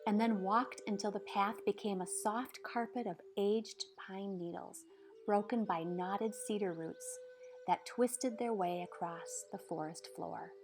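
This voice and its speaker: A woman narrating a storybook aloud in a measured, expressive way, with dramatic pauses. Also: medium pitch. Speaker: storybook reader. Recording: music behind the voice. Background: background music.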